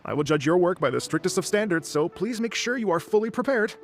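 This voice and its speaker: An earnest gentleman musician for a fantasy game. His voice is somewhat high with a creaky edge, and he speaks formally and cautiously, with music softly behind him. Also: expressive, fast. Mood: resolved, exacting. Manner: courteous, hesitant, formal. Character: polite, earnest foreign musician and festival organizer, cautious. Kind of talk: video game voice acting.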